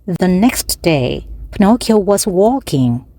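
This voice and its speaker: Woman narrator, very slow and deliberate, clear storybook reading, dry sound. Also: on the low side, big pitch swings, dark tone, no echo. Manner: slow, careful, evenly paced reading. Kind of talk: slow read-aloud for language learners.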